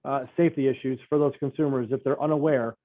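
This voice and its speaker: A man's voice: medium pitch, fairly even intonation, fast talker. A man giving interview answers as a company spokesman, in a thin phone-like recording.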